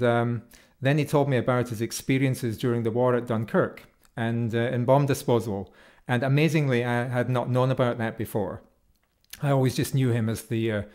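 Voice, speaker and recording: A man from Scotland with a Scottish accent, speaking to an audience in an unhurried, reflective and affectionate way as he tells personal stories in tribute. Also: mid-range voice, a little mellow. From Perth, Scotland. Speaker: folk musician and speaker at a memorial.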